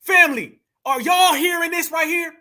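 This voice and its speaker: A man's voice: extremely high pitch, normal speed, pushed and bright. A man giving heated reaction commentary, speaking straight to his audience as family in a fired-up, preaching way. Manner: fired-up, addresses audience directly as "fam" and "y'all". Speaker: online commentator.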